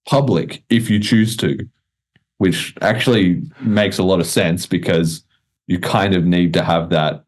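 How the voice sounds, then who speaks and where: A man's voice: deep voice, darkish tone. A man hosting a podcast, explaining things in a casual, informal way with the odd swear word. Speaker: podcast host.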